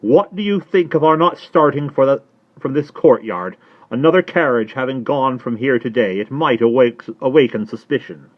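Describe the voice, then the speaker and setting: A man's voice: moderate pace, lo-fi sound, close to an everyday voice. A man doing a frantic, tearful spinster. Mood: distraught. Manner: high shrill fluttering voice, near tears. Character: frantic tearful middle-aged English spinster.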